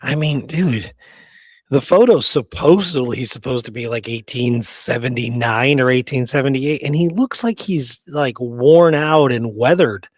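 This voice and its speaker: A man's voice: medium pitch, measured pace, dry recording. A man co-hosting a casual history chat, speaking in a colloquial, opinionated way. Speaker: co-host of a history show. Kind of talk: casual chat about Old West history.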